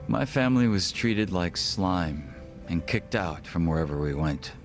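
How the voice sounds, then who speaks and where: An actor playing a suave, guarded gang second-in-command in his thirties for a video game, speaking in a formal, measured, wry way. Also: deep voice, close to an everyday voice. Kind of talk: English video game voice acting.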